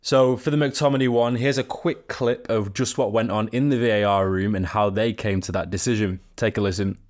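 A man's voice: lowish voice, steady tone, fairly quick, a little mellow. A man hosting a football podcast, presenting and discussing the sport with a co-host.

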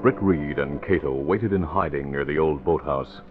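An actor as a solemn narrator reading scripted 1940s radio serial narration. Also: male voice, rumbling low, moderate pace, narrow, tinny audio, soft background music. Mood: suspenseful. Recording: phone-thin vintage radio recording.

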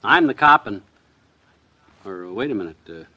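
An actor playing a boastful, blustering husband in a folksy, excitable, self-important way, in a 1950s radio comedy. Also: male voice, on the low side, moderate pace, muffled recording. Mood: boastful, excited. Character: boastful, blustering husband dressed as a policeman for amateur sketch.

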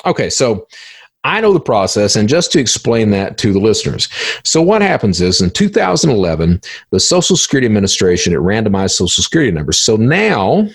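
A man's voice: fairly low pitch, big pitch swings, brisk, slightly rough, no echo. A man hosting a podcast interview, explaining things methodically, step by step.

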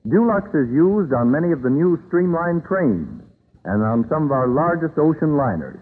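A man reading scripted narration as a 1930s radio announcer, with formal, declamatory, measured phrasing. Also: a little high, very animated, breathy edge. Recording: tinny, narrow-band vintage radio recording.

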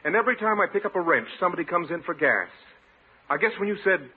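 An actor playing a wisecracking, weary young service station owner, sardonic and needling, in an old-time radio comedy. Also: male voice, high-pitched, animated, fast talker, breathy edge. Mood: exasperated. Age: young adult. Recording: tinny vintage radio, faint noise.

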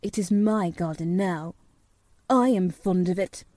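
A woman's voice: fairly low pitch, unhurried, darkish tone, dry recording, lightly acted. A woman voicing an imperious, eager young boy who gives orders decisively in an audiobook. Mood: lordly. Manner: decisive, commanding. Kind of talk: audiobook character dialogue.

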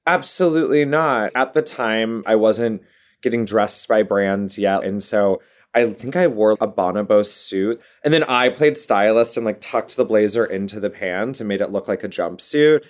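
A woman's voice: very deep voice, unhurried. Playful woman in her twenties. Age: 20s. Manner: playful, laughs often. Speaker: guest. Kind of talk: casual interview chat.

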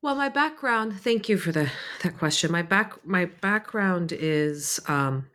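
A woman in her forties with a low-pitched voice talks through her background as a guest author. She is originally from Egypt, and she speaks thoughtfully, pausing on fillers and restarting her sentences as she works out her answers. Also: animated, fairly bright tone. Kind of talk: conversational interview answers.

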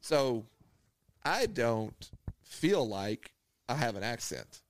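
A man's voice: medium pitch, sing-song, slow pace. A man, co-hosting a podcast, telling a rambling story, laughing often and acting out the people he quotes. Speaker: podcast co-host. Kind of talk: casual storytelling chat.

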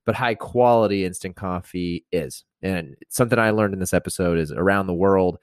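A man's voice: deep voice, moderate pace, smooth, no room sound, clean studio sound. A man hosting his podcast in a loose, upbeat way, reading a sponsor spot and introducing a guest with casual fillers. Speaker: podcast host.

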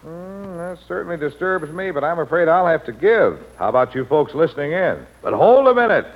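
A man's voice: on the high side, big pitch swings, brisk, phone-quality sound. An American man announcing an old-time radio show on a noisy vintage recording.

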